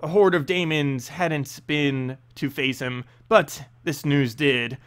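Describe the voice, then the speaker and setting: A man narrating a fantasy story aloud, with a halting delivery that stumbles over the text. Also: a little high, steady tone, smooth.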